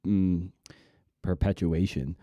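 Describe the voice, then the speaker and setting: Mellow, low-voiced man hosting a podcast. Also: steady tone, slow, no room sound, lo-fi sound. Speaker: podcast host. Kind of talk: casual podcast monologue and interviewing.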